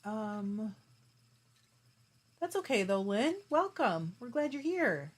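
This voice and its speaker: A woman's voice: medium pitch, big pitch swings. A woman hosting a fiber arts live stream, chatting casually with viewers and reading their comments aloud while she spins yarn. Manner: chatty and warm, with frequent laughs and quick asides; talks while spinning yarn.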